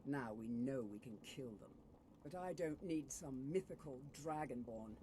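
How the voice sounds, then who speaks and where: A man voicing a gruff, sceptical warrior guard in a fantasy game, talking bluntly. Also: medium pitch, expressive, moderate pace, dark tone, slight background noise, close to an everyday voice. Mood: dismissive. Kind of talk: scripted fantasy game dialogue.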